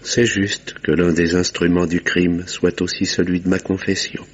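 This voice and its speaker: An actor playing a guarded, weary village doctor with a bitter secret, speaking in a measured, formal way in a French radio drama with muffled sound. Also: male voice, low voice, flat delivery. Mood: resigned confession.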